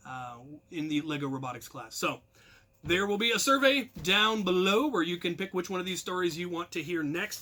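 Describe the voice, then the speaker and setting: A man telling casual anecdotes to his viewers as a channel host, rambling and self-deprecating with animated emphasis. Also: high-pitched.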